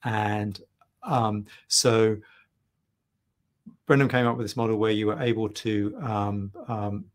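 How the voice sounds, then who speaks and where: A man's voice: lowish voice, steady tone, takes it slowly, pauses often. A middle-aged man giving a keynote lecture over slides on a video call, full of ums and restarts. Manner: hesitant, many ums and restarts. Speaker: keynote speaker. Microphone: webcam on video call.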